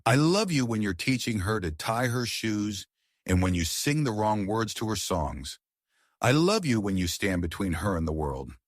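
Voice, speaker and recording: A man voicing an earnest, firm wealthy father speaking close to his own low voice. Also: very animated, moderate pace, no echo.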